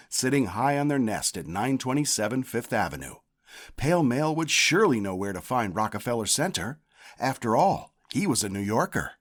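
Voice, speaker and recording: Guy narrating a kids picture book, pitch goes up and down a lot, takes his time. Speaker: storyteller reading a children's picture book. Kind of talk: scripted read-aloud narration.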